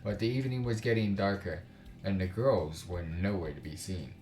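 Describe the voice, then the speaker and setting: Low-voiced man in a roomy space. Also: darkish tone. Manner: exasperated, informal slang, swearing; halting, stumbling reading. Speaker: gaming YouTuber, let's play host. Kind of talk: casual let's play commentary, reading visual novel text aloud. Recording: roomy sound with music underneath.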